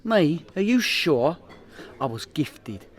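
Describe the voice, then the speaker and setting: An actor as a bragging small-time London crook in a radio comedy. His voice sits fairly high for a man, and he talks in a matey, defensive way, forever selling himself as somebody. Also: very animated, slow. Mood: boastful. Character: boastful, hopeless petty criminal spinning tall tales. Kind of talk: comedy radio play acting.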